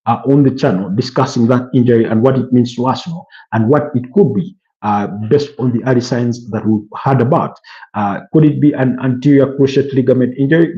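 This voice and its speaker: A man from Uganda talks through football news on his channel's live stream, pausing often with fillers and restarting his sentences. His voice is dark and relaxed, in a middle pitch. The sound is a little dull and lo-fi. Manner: hesitant, many fillers and restarts. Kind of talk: live-stream football chat reading viewer comments.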